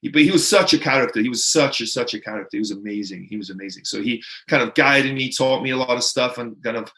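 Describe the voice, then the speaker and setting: Welsh male guest, mid-pitched, casual rambling storyteller, lots of fillers. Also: fast talker, a bit bright. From Wales. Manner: rambling, filler-heavy, frequent "right?" and "like". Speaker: podcast guest.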